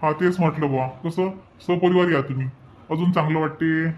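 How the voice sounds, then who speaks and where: A man's voice: fairly high pitch, steady tone, brisk, a little mellow, dull audio, natural voice. A man voicing an earnest, community-minded village headman in a cartoon, speaking folksy, repetitive rural Vidarbha Marathi like someone making a little speech. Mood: friendly. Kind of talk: hobby cartoon voice-over, village dialogue.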